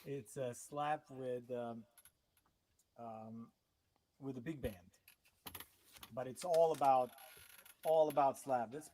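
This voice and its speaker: A man from Serbia, a native Serbian speaker, hosting a casual livestream chat in a halting way, with many restarts and fillers. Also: mid-range voice, steady tone, mellow, slight background noise. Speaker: host.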